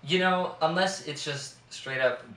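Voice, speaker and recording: Young male co-host, crisp bright tone, conversational movie talk, distant mic with faint noise. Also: on the high side, a little slow, echoey room. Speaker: co-host of a pop culture show. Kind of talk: casual banter about movies.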